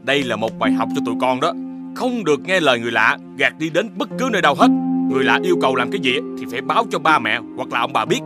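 A man's voice: medium pitch, very animated, very fast, natural voice. A man voicing a caring but scolding father dog in a children's cartoon, exclaiming and instructing. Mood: stern, caring. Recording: loud music over voice.

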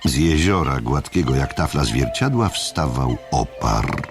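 A man narrating an audiobook drama as a literary storyteller, measured and deliberate, with dramatic pauses. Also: extremely low pitch, animated, music in the background.

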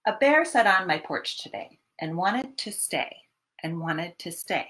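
A woman in her thirties hosting storytime, reading a picture book to young children in an expressive, teacherly way. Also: fairly low pitch. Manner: expressive, deliberate teacherly delivery. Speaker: children's library storytime host. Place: small home room, indoors.

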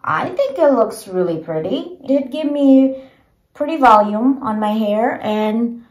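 A woman's voice: a little high, expressive, unhurried. Woman vlogging her hair routine, lots of ums, bit roomy sounding. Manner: chatty, filler-heavy, gentle. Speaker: beauty vlogger. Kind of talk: casual hair tutorial. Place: small bedroom or dressing room at home. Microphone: phone camera propped low. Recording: echoey small room.

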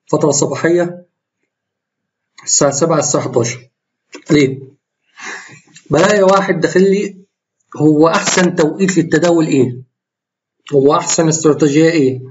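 Hoarse Egyptian man, fast rambling trading talk. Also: on the high side, darkish tone, lo-fi sound, slight background noise. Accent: Egyptian Arabic. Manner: rambling, self-correcting, explains while trading live. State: tired, slightly hoarse voice. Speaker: trading tutorial host. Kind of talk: screen-recorded trading walkthrough.

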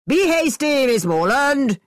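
A woman's voice: mid-range voice, expressive, normal speed, dry recording, clean studio sound, natural voice. A woman voicing a brash, boastful young man. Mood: pushy. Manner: loud, pushy. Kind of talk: storybook reading.

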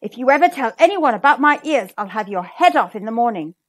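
A woman's voice: fairly high pitch, big pitch swings, a little fast, fairly bright tone. A woman voicing a proud, short-tempered king in a storybook, bellowing and imperious. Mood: threatening.

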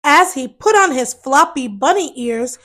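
High-voiced woman reading a storybook aloud. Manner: expressive, deliberate. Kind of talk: children's picture book read-aloud.

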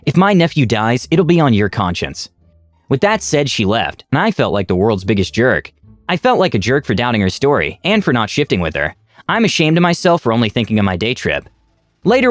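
Man narrating with a swooping, lively voice. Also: mid-range voice, no room sound. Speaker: narrator reading reddit posts. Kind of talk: scripted story narration. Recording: dry close mic with faint music.

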